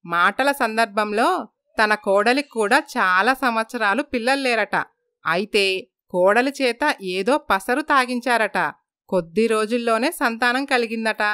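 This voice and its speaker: A woman's voice: on the high side, bright, forceful tone, clean tone, dry recording, clean studio sound. A woman voicing a gossipy, blunt village neighbour woman in a moral story.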